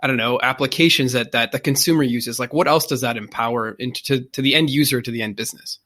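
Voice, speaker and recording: Fast-talking curious male interviewer. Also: mid-range voice, bright, forceful tone. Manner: curious, thinks questions through aloud. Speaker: interview host. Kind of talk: casual interview questioning.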